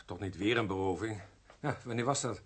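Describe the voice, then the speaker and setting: A man's voice: lowish voice, a little fast, background noise, close to an everyday voice. Cheeky young male detective, played by an actor. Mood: businesslike. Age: young adult. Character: young unmarried police detective, cheeky, grumbling. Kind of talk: radio drama acting.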